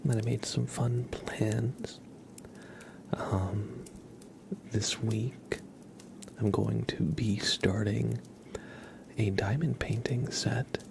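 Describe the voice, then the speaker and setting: A man hosting an ASMR livestream, chatting gently and casually in a soft, breathy voice with frequent pauses. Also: fairly low pitch, steady tone, background noise. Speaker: livestream host.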